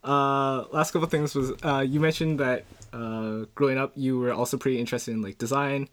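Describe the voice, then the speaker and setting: A man's voice: medium pitch, fairly even intonation, moderate pace. A young man with a Canadian accent, interviewing a fellow student in a casual, playful way and laughing a lot. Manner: playful, laughs often, quotes himself.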